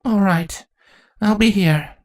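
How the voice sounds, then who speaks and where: A man voicing a weary former changeling queen, female, who speaks in a dry, measured, firm way for a storybook reading. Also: sits high, a little mellow, pitched above the performer's own voice. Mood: guarded.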